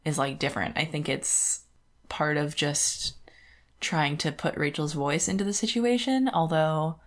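Low-voiced woman chatting casually. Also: a little slow. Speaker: podcast co-host. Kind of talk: casual book discussion chat.

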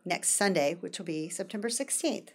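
A woman in her fifties co-hosting a casual podcast with her daughter. She chimes in with short, warm reactions. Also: fairly low pitch, normal speed. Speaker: podcast co-host. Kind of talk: casual chat.

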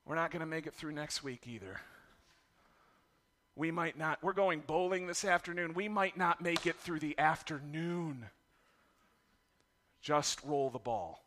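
A middle-aged man delivers a sermon in a raspy, strained voice. He speaks high and bright, candid and self-deprecating about raising his children. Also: unhurried, pauses often. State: raspy voice from yelling. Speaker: pastor.

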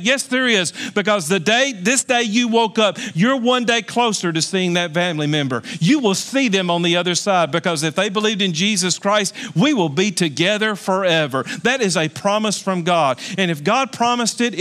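High, bright-voiced preaching man in his fifties. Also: moderate pace, hardly any pauses. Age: 50s. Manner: emphatic, repetitive preaching with jokey asides. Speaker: pastor. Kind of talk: sermon.

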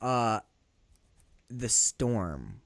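A man co-hosting a casual film podcast. He talks excitably, swears freely, often laughs mid-sentence, and his voice jumps up high when he gets worked up. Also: very slow, gravelly, no room sound. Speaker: podcast co-host. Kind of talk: casual film-discussion chat.

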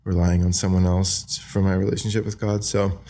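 A young man from Australia with an American accent, talking softly and hesitantly as a podcast guest, with many fillers. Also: sits low, little pitch movement, fairly quick. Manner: soft-spoken, hesitant, many ums and likes. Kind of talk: casual interview talk.

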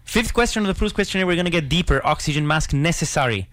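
A man's voice: a little high, lively intonation, quick pace, no room sound. Upbeat man hosting a podcast interview. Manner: enthusiastic, warm encouragement, philosophical asides. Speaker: podcast host. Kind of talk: casual interview.

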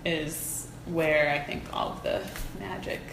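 A woman's voice: low voice, little pitch movement, slow pace. A woman speaking as an interview guest, earnest and informal, thinking aloud in casual, reflective conversation. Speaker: interview guest, folk practitioner. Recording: roomy echo, faint background noise.

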